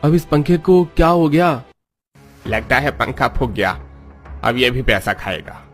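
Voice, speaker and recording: A man's voice: mid-range voice, lively intonation, measured pace, close to an everyday voice. Man acting a plain-spoken village husband, music underneath. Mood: exasperated. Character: hard-working poor village husband, plain-spoken, easily exasperated.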